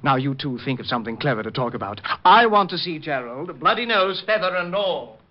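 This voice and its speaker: A man's voice: fairly high pitch, big pitch swings, a little fast, a little hiss or hum. A man playing a fussy, catty male hat designer in a 1950s radio drama, with a theatrical, snippy delivery. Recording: dull lo-fi old radio broadcast.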